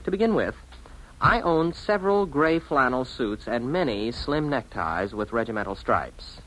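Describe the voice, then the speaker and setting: A man's voice: medium pitch, big pitch swings, normal speed, a bit bright, poor audio. An actor with an American accent playing a wry, mock-formal businessman narrating his own story in a 1950s radio drama. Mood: wry, self-aware.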